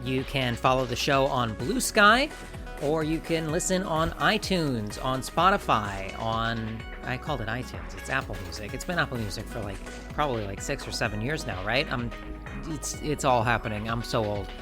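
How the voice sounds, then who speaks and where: A woman's voice: extremely low pitch, animated, moderate pace, music in the background. Laughing woman podcast host. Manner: laughs often, many restarts. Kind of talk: casual podcast chat.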